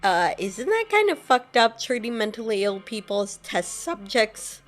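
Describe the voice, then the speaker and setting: A woman's voice: on the high side, big pitch swings, moderate pace, soft background music. A woman voicing an earnest young wanderer who asks a lot of questions, in a game dialogue. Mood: curious. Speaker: player character. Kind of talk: fan-voiced game dialogue.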